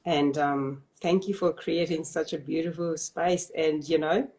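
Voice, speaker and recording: A woman hosting a live-stream interview, speaking softly in an effusive, affirming way. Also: low-pitched, fairly even intonation, a little slow. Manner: effusive, affirming, soft-spoken. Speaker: host, interviewer.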